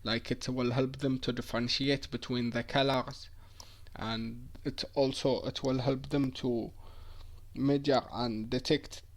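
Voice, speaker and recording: Man presenting a project, medium pitch. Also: level tone, a little slow.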